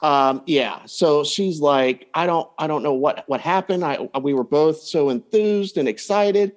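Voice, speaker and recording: A man's voice: on the high side, measured pace. A man speaking as a coach and podcast guest, giving advice in a casual, anecdotal way. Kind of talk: casual advice chat, telling client anecdotes.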